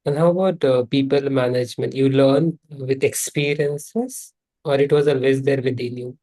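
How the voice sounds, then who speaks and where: A man asks his questions with a mellow, warm tone. He pauses and restarts as he looks for the right words, keeping the chat casual. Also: medium pitch, steady tone, low-quality recording. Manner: halting, searching for words. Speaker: interviewer, healing center owner.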